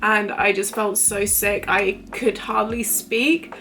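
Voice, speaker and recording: A woman in her twenties vlogging casually to camera, chatty and rambling, her voice a little croaky from illness. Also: mid-range voice, fairly even intonation, takes it slowly, bright, forceful tone, soft background music. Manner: chatty, rambling, lots of fillers. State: recovering from illness, voice a bit croaky. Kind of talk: casual talking-to-camera vlog.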